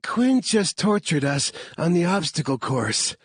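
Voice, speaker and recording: A woman's voice: fairly low pitch, big pitch swings, unhurried, breathy edge, dry recording, studio quality, pitched above the performer's own voice, full character voice. A man voicing a wry young woman hacker in an audiobook. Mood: wry, breathless.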